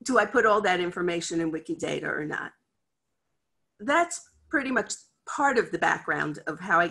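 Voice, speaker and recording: A middle-aged woman with an American accent speaks over a video call from her office. She gives a conference talk in a chatty, candid way. Her voice is bright and forward. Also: fairly low pitch, husky. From United States. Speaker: head of a library cataloguing department. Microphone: video call webcam.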